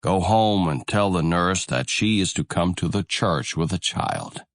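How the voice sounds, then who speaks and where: A man's voice: low-pitched, lively intonation, unhurried, vocal fry, no room sound, studio quality, full character voice. A man voicing a poor, generous beggar in a storybook, with solemn, old-fashioned phrasing. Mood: earnest, plain.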